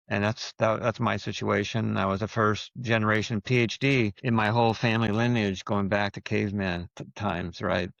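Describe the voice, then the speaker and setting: A man's voice: lowish voice, gravelly, a bit bright, dry recording, dull audio. A man from Quebec whose first language is French, talking as a podcast guest in a reflective way, often restarting his sentences. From Quebec, Canada. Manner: thoughtful, with frequent restarts and fillers. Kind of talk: casual interview talk.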